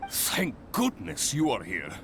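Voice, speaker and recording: Polite guild clerk, bright voice that swings way up and down, faint hiss. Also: male voice, a little high, wildly swooping pitch, slow, vocal fry, heavily acted. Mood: explaining. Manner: courteous, businesslike. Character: polite adventurers guild official man.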